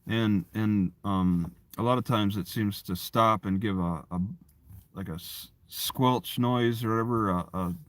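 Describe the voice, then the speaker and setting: A man chatting casually on a livestream, rambling and often restarting his phrases. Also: on the low side. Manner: halting, repeats and restarts phrases. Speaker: live streamer.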